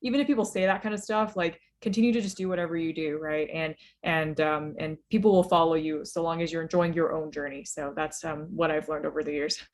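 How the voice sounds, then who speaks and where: Fast-talking casual woman. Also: low-pitched, fairly even intonation. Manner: quick, casual, with frequent fillers. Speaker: hedge fund founder on a webinar panel. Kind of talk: casual panel advice. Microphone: webinar call microphone.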